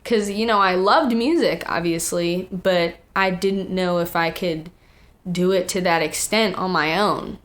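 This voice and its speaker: A teenage young woman chatting casually, telling stories in an animated way with acted-out quotes and plenty of "like". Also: lowish voice, a little slow. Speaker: young singer and songwriter, interview guest. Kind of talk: casual chat, storytelling.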